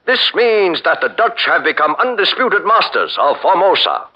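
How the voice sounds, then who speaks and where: An actor playing a Dutch ship captain who gives orders in a measured, authoritative manner, in 1940s radio acting. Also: male voice, high voice, big pitch swings, soft background music. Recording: dull lo-fi 1940s radio broadcast.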